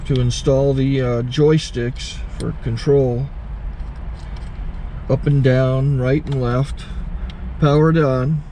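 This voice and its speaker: A man hosting his channel, casually walking through a gear setup with halting self-corrections. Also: mid-range voice, little pitch movement, dark tone. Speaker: channel host, recording taper. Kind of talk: casual how-to demonstration. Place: inside a truck cab. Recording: faint car and outdoor noise.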